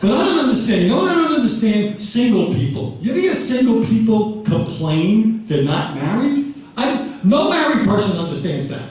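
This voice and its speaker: A man's voice: high voice, very animated, moderate pace. Exasperated man in his fifties on stage. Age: 50s. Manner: loud, exasperated, rising to shouts. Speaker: stand-up comedian. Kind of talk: stand-up comedy set. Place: live comedy theater stage. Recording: roomy hall, distant, crowd noise. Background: laughing audience.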